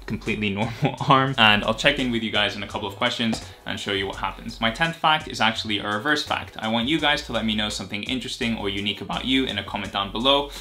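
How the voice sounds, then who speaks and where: A man's voice: fairly low pitch, sharp tone. A young man in his twenties, a YouTuber and medical student raised in Greece, chatting casually and directly to camera from a sofa in his living room. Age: 20s. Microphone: camera at medium distance.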